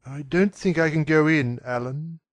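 A man voicing a handsome young gentleman in a dramatic audiobook, brisk and authoritative with a nervy edge. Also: on the high side, no room sound, voice pushed up, close to an everyday voice. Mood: strained, earnest. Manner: brisk, authoritative, calm surface.

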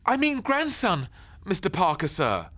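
A panicky young boy in a children's storybook, stammering in a squeaky, high voice, as a man puts him on. His tone is bright and jumpy. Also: wildly swooping pitch, unhurried, heavily acted. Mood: panicked.

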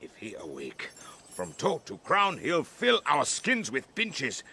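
An actor playing a servile, scheming, resentful monster servant in a Shakespearean audio drama, gravelly and urgent. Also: male voice, medium pitch, huge pitch swings, slow, bright, forceful tone, slight background noise, full character voice. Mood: impatient, alarmed.

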